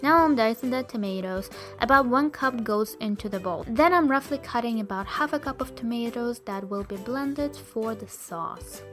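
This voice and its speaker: A woman's voice: on the high side, moderate pace, few pauses, soft background music. A young woman with a Russian accent, chatting cheerfully to viewers as she narrates her cooking. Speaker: vegan food vlogger.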